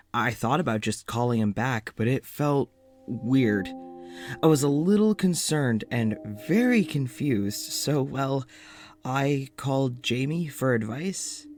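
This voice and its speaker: Self-doubting, nervous man male actor for audio drama monologue; mid-pitched, halting, confessional, faint music underneath. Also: darkish tone, natural voice. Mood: confused, sheepish. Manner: halting, rambling, self-deprecating. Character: anxious, self-doubting male doctor confiding in an audio journal.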